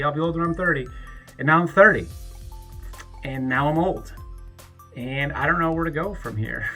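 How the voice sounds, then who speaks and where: Bright-voiced man in his thirties rambling. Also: fairly high pitch. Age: 30s. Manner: rambling, halting, with many restarts; rises into an angry, profane rant. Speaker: YouTuber. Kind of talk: reflective birthday vlog monologue.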